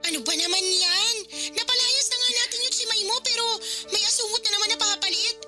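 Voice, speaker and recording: Sly filipino mean girl teen, high voice, breezy n slangy, radio drama with music behind. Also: fast talker, bright, forceful tone, exaggerated voice. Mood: scheming, dismissive. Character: sly, flippant teenage girl, scheming friend. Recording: music playing under the voice.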